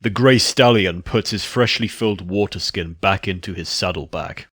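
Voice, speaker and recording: Male narrator for fiction reading: fairly low voice, close and very dry sound, scripted delivery. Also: moderate pace, a bit bright, slightly rough, no room sound.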